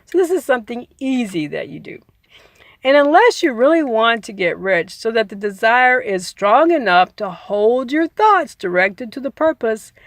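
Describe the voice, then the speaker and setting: A woman's voice: medium pitch, gravelly, no room sound, studio quality. A woman reading a book aloud in an emphatic, instructive way, repeating key phrases and adding informal asides. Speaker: audiobook reader.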